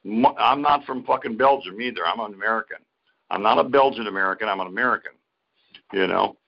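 A man's voice: fairly low pitch, fast talker, gravelly. A man co-hosting a podcast in casual chat, crude and swearing heavily. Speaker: podcast co-host. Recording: dull lo-fi audio.

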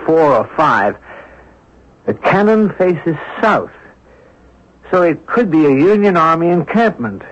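An actor playing an observant, composed amateur sleuth in a radio mystery drama, reasoning aloud in a deliberate, inquisitive way. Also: male voice, medium pitch, lightly acted. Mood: musing, curious. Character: observant, composed amateur sleuth, a man puzzling over clues. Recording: dull lo-fi old radio broadcast recording.

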